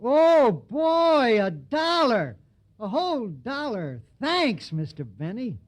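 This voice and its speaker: High, animated young delivery boy. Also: slow, full character voice. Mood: thrilled. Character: eager young telegram delivery boy, easily thrilled. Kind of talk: old-time radio comedy acting.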